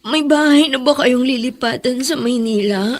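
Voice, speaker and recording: A woman playing a sympathetic young female friend, gentle and consoling, in a Filipino radio drama. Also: high voice, moderate pace. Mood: concerned. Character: sympathetic young woman, tearful close friend of a troubled girl.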